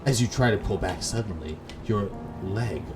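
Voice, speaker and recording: A man's voice: lowish voice, moderate pace, music in the background. A man from Canada reading visual novel narration aloud expressively, with casual gamer reactions. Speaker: gaming video host.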